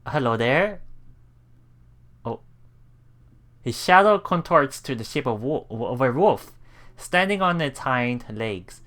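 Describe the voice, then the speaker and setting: A man's voice: mid-range voice, animated, slow, pauses often, fairly bright tone. A man hosting a let's play, reading visual novel text aloud and adding casual, reactive asides. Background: game music.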